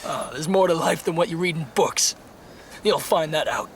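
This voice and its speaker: Man playing a brash, high-pitched young American soldier. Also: normal speed, slight background noise, natural voice. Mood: menacing, taunting. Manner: swaggering, goading, folksy slang. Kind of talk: radio drama acting.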